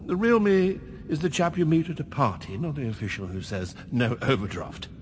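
An actor in a radio drama playing a pompous, flirtatious English bank manager with a blustering, jovial manner. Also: male voice, on the high side, moderate pace, long reverb tail, slight background noise, close to an everyday voice. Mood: boastful, jovial. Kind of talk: BBC radio drama acting.

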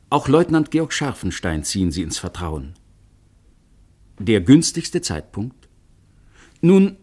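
A man narrating a historical radio play in a measured storytelling manner. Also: on the low side, a little mellow. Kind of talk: scripted narration.

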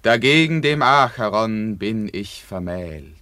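Fussy demanding drama teacher in a radio play, pompous, fairly deep, dry recording. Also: male voice, animated, slow, pushed and bright, dry recording, heavily acted. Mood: urging. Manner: emphatic, instructing. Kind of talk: German radio play acting.

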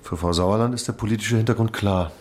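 A man playing a deep-voiced, musing detective. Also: quick pace, lightly acted. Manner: thoughtful, musing, talks it through. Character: older male police chief inspector, musing and weary. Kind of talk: radio drama acting.

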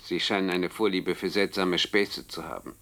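A man's voice: sits low, fairly even intonation, moderate pace, fairly bright tone. An actor playing a bitter, defiant disembodied brain of a former male scientist, dry and reproachful, in a German radio play.

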